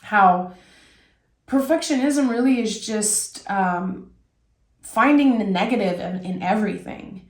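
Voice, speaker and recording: A woman gives an unhurried tarot reading, her voice raspy from a cold. She wanders thoughtfully from point to point, and her voice rings in an echoey room. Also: mid-range voice. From Québec. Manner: reflective, meandering, with fillers. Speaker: tarot reader. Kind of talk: casual spoken tarot reading.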